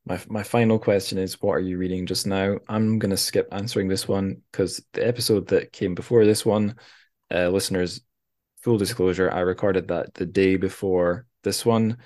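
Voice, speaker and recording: A man's voice: sits low, fairly even intonation, normal speed, darkish tone. A man hosting a podcast, chatting casually with a guest about books. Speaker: podcast host. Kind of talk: casual literary interview chat.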